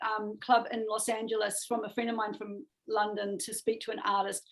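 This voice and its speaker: A woman's voice: medium pitch, level tone, moderate pace, low-quality recording. A middle-aged woman from New Zealand with a strong Kiwi accent, chatting earnestly as a music manager in an interview. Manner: chatty, earnest, repeats words and adds "right?". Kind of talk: casual interview chat.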